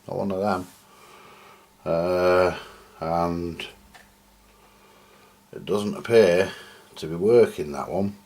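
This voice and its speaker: A man's voice: low-pitched, a little mellow. A man giving casual, rambling hands-on repair commentary to viewers, thinking aloud as he works. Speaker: host of a radio repair video. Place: at a home workbench. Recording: clear background noise with clicks.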